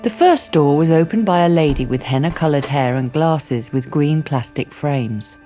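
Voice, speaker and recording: A woman's voice: deep voice, normal speed, warm tone, soft background music. A woman narrating a radio drama from a script.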